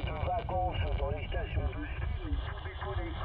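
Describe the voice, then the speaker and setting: A man from southern France chatting casually over a radio transmission, colloquial and halting, repeating himself. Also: a little high, steady tone. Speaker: radio operator. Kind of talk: casual amateur radio chat. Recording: noisy, muffled radio reception.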